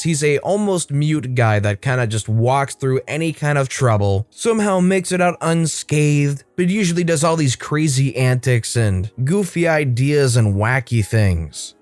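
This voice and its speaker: A man's voice: mid-range voice, a little mellow, dry recording. A man narrating reddit stories in a wry, sarcastic storytelling manner. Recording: dry close studio sound, faint music.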